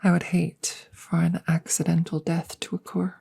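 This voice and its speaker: Woman playing a low, husky, clinical alien scientist. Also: completely flat delivery, warm tone, exaggerated voice. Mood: calm, politely concerned. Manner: formal, measured, deliberate, with breathy whispered phrases. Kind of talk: ASMR audio roleplay.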